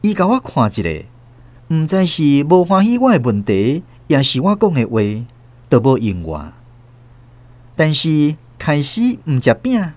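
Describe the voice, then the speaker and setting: A Taiwanese man narrating a scripted radio drama in an expressive storytelling manner. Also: a little high, no room sound. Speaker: narrator and host. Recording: noisy background sound effects.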